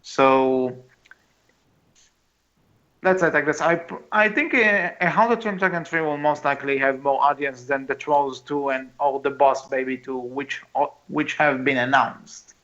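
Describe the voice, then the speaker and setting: A man co-hosting a podcast in casual discussion, speaking in a hesitant, halting way. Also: medium pitch, fairly bright tone. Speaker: podcast co-host. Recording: dull, muffled lo-fi mic.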